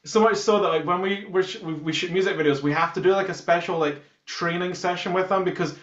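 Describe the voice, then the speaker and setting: A man's voice: high-pitched, quick pace, fairly bright tone. A man with a Scottish accent, a band musician chatting casually in an interview and telling jokey anecdotes. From Scotland. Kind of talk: casual chat. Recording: roomy, echoey, muffled sound.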